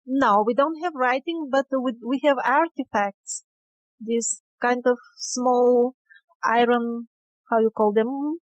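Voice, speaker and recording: A woman with a fairly high voice talks as a guest in an interview. She goes very slowly and conversationally, feeling for her words. The recording is dry and close, with little room sound. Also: dry recording, dull audio.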